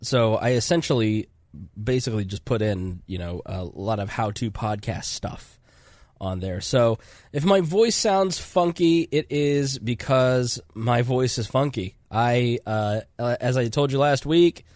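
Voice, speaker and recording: A man with a cold-congested voice hosts a casual podcast, chatty and rambling, often restarting his sentences. His voice sits at an ordinary middle pitch. The sound is dry and close, with no room around it. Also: lively intonation, measured pace, a little mellow, no room sound. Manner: rambling, self-interrupting, chatty. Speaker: podcast host.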